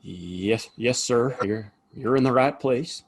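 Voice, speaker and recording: An actor playing a nervous, deferential small-town barkeep in a Western audio play, with a hesitant, stammering delivery and a husky voice. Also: male voice, medium pitch, slow pace. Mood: guarded, polite.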